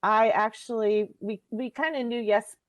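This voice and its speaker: A woman's voice: a little high, fairly even intonation, moderate pace, dull audio. A woman co-facilitating an online meeting, talking casually to the participants as she handles the technical side. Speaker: co-facilitator running the slides. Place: online conference call.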